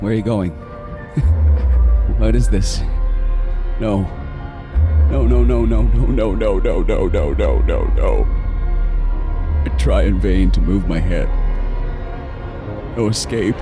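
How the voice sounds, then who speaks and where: An actor playing a trapped male serial killer in a horror audio drama, obsessive and desperate, moving between intense narration and pleading. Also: deep voice. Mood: despairing. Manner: intense, swinging from murmured narration to frantic pleading.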